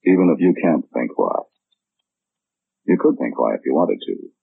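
Radio drama role: a coolly menacing, all-knowing gentleman, low-pitched, formal and deliberate, dry muffled 1940s sound. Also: sing-song, gravelly, no echo, full character voice. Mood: quietly menacing. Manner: slow, deliberate, precise formal speech.

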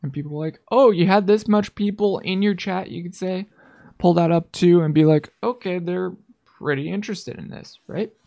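Casual streamer host, mid-range pitch, informal, encouraging, chatty interview style. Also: normal speed, gravelly, warm tone. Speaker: livestream host interviewing a guest. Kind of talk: casual chat.

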